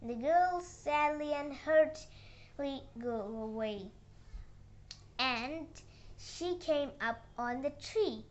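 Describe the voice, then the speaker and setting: Slow-paced, high-pitched child storyteller. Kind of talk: narrating a moral fairy tale.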